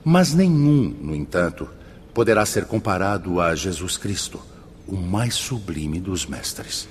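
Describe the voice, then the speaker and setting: An actor playing a solemn, benevolent male spirit mentor in a religious radio drama, speaking gravely and with measured emphasis. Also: low voice, big pitch swings, slight background noise, exaggerated voice. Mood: solemn, instructive.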